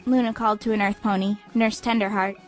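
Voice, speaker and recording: A woman's voice: mid-range voice, steady tone, fast talker, faint music behind. A woman reading scripted, dramatic audiobook narration.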